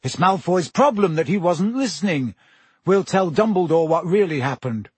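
Man voicing a husky, insistent schoolboy. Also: high-pitched, lively intonation, moderate pace, a little mellow, no echo, voice pushed up. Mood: indignant. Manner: pointed, insistent. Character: defiant, loyal young teenage schoolboy.

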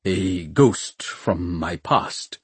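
A man narrating an audiobook plays a proud, troubled father with a short temper. The voice is low and the sound is dry and close. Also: lively intonation, extremely slow, slightly rough, no echo, full character voice. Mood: grave, regretful. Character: troubled, irritable father, proud airship adventurer. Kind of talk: audiobook character voice.

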